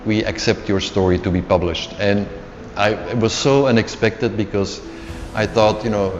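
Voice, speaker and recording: Interview guest: middle-aged man, Dutch native speaker, low voice, casual and unhurried, restarts words. Dull lo-fi sound, long reverb, faint music under it. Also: fairly even intonation. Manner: unhurried, repeats words while searching.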